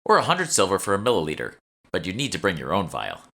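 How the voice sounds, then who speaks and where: A man's voice: low voice, huge pitch swings, quick pace, vocal fry, bright, forceful tone, exaggerated voice. A man reading an audiobook, voicing a chatty, eager young female shopkeeper who is polite and salesy. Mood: businesslike. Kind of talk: audiobook character dialogue.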